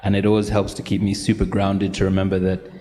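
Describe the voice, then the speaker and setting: A man's voice: low-pitched, completely flat delivery, fast talker, warm tone. A man answering reflectively as a photojournalist on a discussion panel. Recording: reverberant hall, faint background noise.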